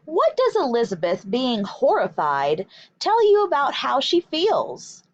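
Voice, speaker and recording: A woman with a fairly high voice reads aloud like a primary teacher, taking her time over each phrase. Her delivery is emphatic and deliberate, made for young listeners. The recording is dry and a little muffled. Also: wildly swooping pitch, no echo. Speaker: teacher reading a picture book aloud. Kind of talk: expressive children's read-aloud, instructional.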